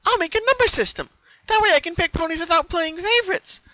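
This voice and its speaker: A bouncy young girl pony from a cartoon, gushing with singsong cheer and a sinister edge. The voice is squeaky high and very bright, swinging wildly. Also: animated, fast, no room sound, full character voice, poor audio. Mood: scheming glee.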